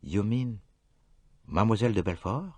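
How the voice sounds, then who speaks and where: A narrator putting on a shrewd older detective, low-voiced and calmly probing, his pitch rising and falling with each question. He sounds close and dry in an audiobook recording. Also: moderate pace, dry recording. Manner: quiet, precise questioning.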